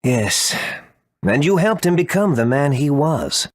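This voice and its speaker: A man's voice: fairly high pitch, sing-song, unhurried, dry recording, clean studio sound. A man playing an apologetic, earnest scientist in a video game, speaking formally as he explains things. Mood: earnest, remorseful. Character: apologetic, earnest male scientist, grandson of a villain. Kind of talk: English video game voice acting.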